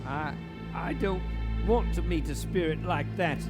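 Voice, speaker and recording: A man playing an old miser in a live radio play, speaking haltingly and melodramatically. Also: fairly high pitch, lightly acted. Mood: uneasy, pleading. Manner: halting, melodramatic, breaking phrases for effect. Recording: live stage, music underneath.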